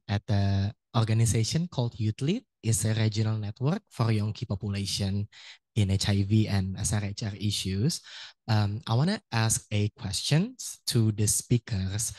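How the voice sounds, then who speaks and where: An audience member from Indonesia asking a question from the floor, friendly and a little hesitant. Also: male voice, fairly low pitch, measured pace, darkish tone, dry recording.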